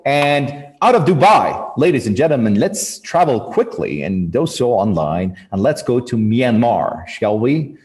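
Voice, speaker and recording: A man's voice: mid-range voice, big pitch swings, a little slow, few pauses, a little mellow. A man hosting a live cooking competition, introducing contestants with upbeat, emphatic announcements. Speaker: host. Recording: long hall reverb.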